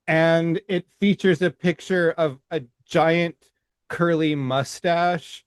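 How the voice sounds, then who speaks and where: A middle-aged man co-hosting a casual podcast, speaking in halting phrases with many restarts and stammers. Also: fairly high pitch, steady tone, clean tone, no echo. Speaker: podcast co-host. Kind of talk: casual chat.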